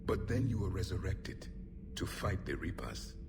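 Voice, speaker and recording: An actor playing a grave, mournful alien warrior, last survivor of his people, speaking formally and deliberately in a video game. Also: low voice, animated, noisy background. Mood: probing, grave. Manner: solemn, deliberate, formal phrasing. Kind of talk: cinematic video game dialogue acting.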